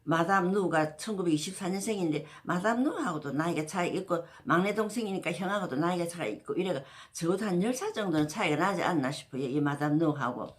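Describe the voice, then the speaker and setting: A woman's voice: on the low side, expressive, fairly quick, hardly any pauses. A woman, casually telling a history story as a channel host, rambling with many fillers and restarts. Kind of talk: casual spoken history talk.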